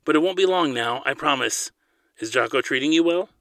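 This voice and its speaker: A man voicing a playful, caring young woman who is gently insistent and firm, as in an audiobook. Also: fairly high pitch, brisk, dry recording, studio quality, pitched above the performer's own voice. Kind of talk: audiobook character dialogue.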